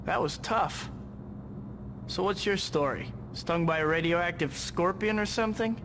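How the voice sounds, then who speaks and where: An actor playing a wisecracking young superhero with an American accent, cocky but kindly and breezily sarcastic, in a video game. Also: male voice, high voice, a little slow. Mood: wry. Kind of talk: video game cutscene acting. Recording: loud background noise, game effects.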